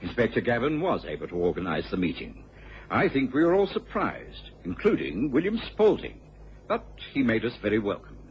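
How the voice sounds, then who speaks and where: A male actor plays a genteel, earnest gentleman who assists a detective and tells of the cases. His pitch moves freely as he speaks in an expressive radio drama style. The recording is dull and old, with a faint hiss. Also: moderate pace, lightly acted. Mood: measured, grave. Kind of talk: radio drama narration and acting.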